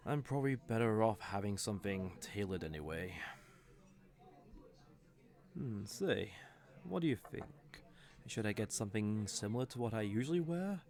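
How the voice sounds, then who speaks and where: A man's voice: on the low side, lively intonation. A man playing a cocky, suave fantasy villain who teases and flirts smugly in an audio roleplay. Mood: flirty, musing. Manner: smug, playful, unhurried teasing with soft chuckles. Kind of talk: scripted audio roleplay addressed to the listener.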